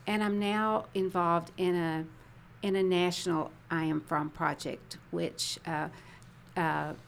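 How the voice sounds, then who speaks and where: A woman author speaking slowly and deliberately in an interview, pausing often as she chooses her words. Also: on the low side, fairly even intonation, creaky, slight background noise. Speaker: guest author. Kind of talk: casual interview answers.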